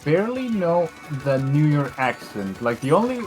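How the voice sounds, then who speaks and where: A man's voice: fairly high pitch, big pitch swings, moderate pace, darkish tone, soft background music. A man whose first language is not English, chatting animatedly on a gaming stream and often searching for words. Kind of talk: casual gaming chat.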